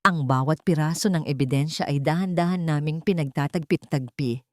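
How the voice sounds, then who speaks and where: Low-voiced woman, solemn dramatic storyteller. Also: fairly quick, darkish tone, no room sound, studio quality. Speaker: audio story narrator. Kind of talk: dramatic scripted first-person story narration.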